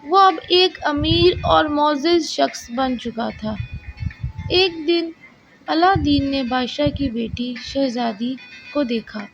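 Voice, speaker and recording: A woman's voice: high-pitched, slow, creaky. A woman reading a scripted children's story as the storyteller. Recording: slightly dull, lo-fi narration track over music. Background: soft background music.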